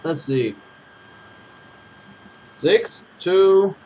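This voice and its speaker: A man with a fairly high, lively voice gives casual gaming commentary. He turns to his viewers often and reads on-screen text aloud. Faint noise sits behind him. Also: extremely slow, low-quality recording. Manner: animated, addresses the audience. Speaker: let's play host.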